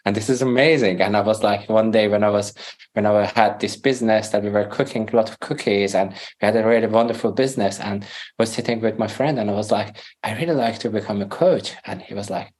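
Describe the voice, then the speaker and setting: A man's voice: fairly low pitch, brisk. Man telling his story. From Iran. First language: Farsi. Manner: animated storytelling, acts out his own past dialogue, laughs often. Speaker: podcast guest. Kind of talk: conversational interview.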